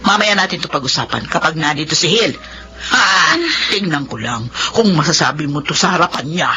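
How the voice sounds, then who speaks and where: A woman's voice: sits low, animated, fairly quick, sharp tone, faint music behind. An actress playing a domineering older mother-in-law in a radio drama, scornful and cutting. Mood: scolding. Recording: lo-fi with faint hiss.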